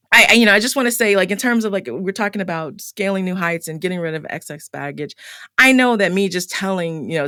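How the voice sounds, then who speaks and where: A woman's voice: lowish voice, sharp tone, no echo, clean studio sound. A middle-aged woman hosting a solo podcast, talking candidly with many fillers and restarts, her voice starting to tire. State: voice getting tired. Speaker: podcast host.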